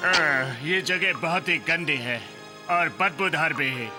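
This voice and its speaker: An actor voicing a haughty, fastidious, complaining pharaoh in a cartoon dub. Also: male voice, fairly high pitch, over music. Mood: disdainful.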